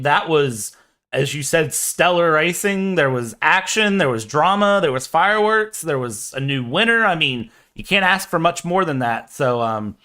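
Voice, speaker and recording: A man co-commentating a sim racing broadcast. He sums up the event in an upbeat way, listing the highlights one after another. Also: pushed and bright.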